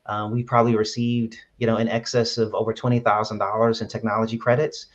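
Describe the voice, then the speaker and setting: A man's voice: on the low side, flat delivery, fast talker. A man from Brooklyn, an app founder, answering interview questions earnestly with many fillers and restarts. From Brooklyn, New York. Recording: dull lo-fi sound.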